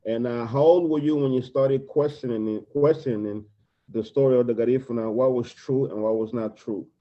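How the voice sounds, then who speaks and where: Male interviewer with an average-pitched voice, asks and rephrases questions, slightly dull audio. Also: fairly even intonation, moderate pace. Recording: dull lo-fi sound.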